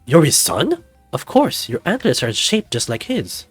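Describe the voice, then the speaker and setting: Casting note: flirty, theatrical snow leopard host, high and swooping, husky edge, as a man voices him. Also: huge pitch swings, measured pace, dry recording, soft background music, heavily acted. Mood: delighted. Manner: theatrical, flirtatious, calls people darling. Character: flirtatious middle-aged male snow leopard resort owner. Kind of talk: visual novel voice acting.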